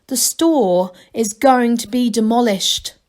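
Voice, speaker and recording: A stiff, earnest gnome man from a storybook, performed by a woman. He speaks with formal respect and rising urgency, pitched fairly high. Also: takes it slowly, a little mellow. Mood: urgent, stiff. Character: earnest, exasperated male gnome inventor, respectful but stiff.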